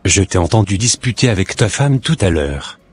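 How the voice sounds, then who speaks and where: A wise, moralizing neighbor who lectures earnestly on marriage. His voice is very deep and husky, with soft music in the background. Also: sing-song, normal speed, full character voice. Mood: reproachful. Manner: earnest, preachy, counseling.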